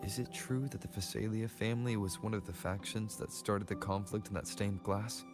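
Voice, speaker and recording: An actor voicing an earnest, probing traveler in a video game, speaking in a serious, inquisitive way. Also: male voice, lowish voice, steady tone, moderate pace, close to an everyday voice. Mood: earnest, questioning. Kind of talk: scripted video game dialogue. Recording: game music behind the voice.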